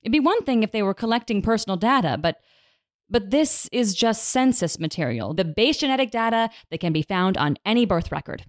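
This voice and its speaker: A woman's voice: mid-range voice, lively intonation, a little fast, no echo, studio quality, close to an everyday voice. A woman playing an assertive advocacy leader on a radio debate panel, articulate and lawyerly in her arguing. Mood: assertive, defensive.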